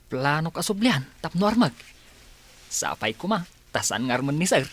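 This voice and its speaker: A woman's voice: sits low, big pitch swings, normal speed, breathy edge, heavily acted. A woman with an Ilocano accent playing a respectful staff member in a radio drama. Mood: gossipy.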